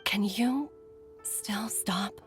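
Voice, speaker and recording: An actress playing a gentle, troubled healer mother in a video game, speaking earnestly and softly with grave concern. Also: medium pitch, drawn-out words, soft background music. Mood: anguished, regretful. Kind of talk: dramatic game dialogue.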